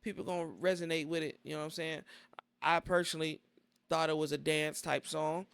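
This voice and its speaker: A man's voice: on the high side, level tone, a little fast, gravelly, fairly bright tone, smooth. A male podcast host asking questions in a casual chat, rambling and often repeating filler phrases. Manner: rambling, repeats "you know what I'm saying". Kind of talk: casual interview.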